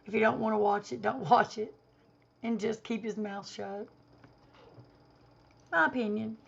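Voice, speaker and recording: A woman vlogger airs an emphatic, indignant opinion straight to camera. Her voice is hoarse and gravelly from a cold, sitting a little high. The audio is dull and lo-fi. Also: steady tone, a little slow.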